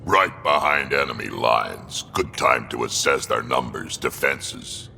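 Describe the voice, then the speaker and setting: An actor playing a big, gruff, battle-hardened alien warrior in a video game, with a gravelly voice. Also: male voice, a bass voice, a little slow, pushed and bright, long reverb tail, a little hiss or hum, exaggerated voice. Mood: grimly eager. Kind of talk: video game cinematic acting.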